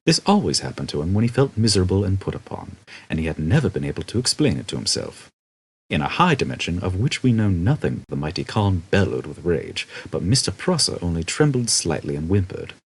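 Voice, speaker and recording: A man's voice: sits low, sing-song, a little fast, husky, low-quality recording. A man reading a comic novel aloud as a scripted storybook narration. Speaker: audiobook narrator.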